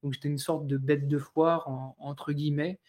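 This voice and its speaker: A man in his thirties from France, a guest sharing his life story in a live online talk, speaking with many pauses and restarts. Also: on the high side, little pitch movement, a little mellow. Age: 30s. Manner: hesitant, many fillers and restarts.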